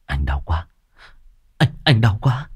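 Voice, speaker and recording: A man's voice: on the low side, big pitch swings, slow pace, a little mellow, slightly rough, heavily acted. A man voicing a feverish young man groaning in pain and confusion.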